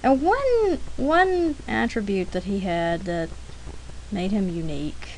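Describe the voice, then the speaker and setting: Female art demo narrator, unhurried, chatty and self-deprecating, mid-range voice, some background noise. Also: medium pitch, expressive, darkish tone, rough recording. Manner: chatty, self-deprecating, pausing to think aloud. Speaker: artist narrating own drawing demo. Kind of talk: casual rambling art demo commentary.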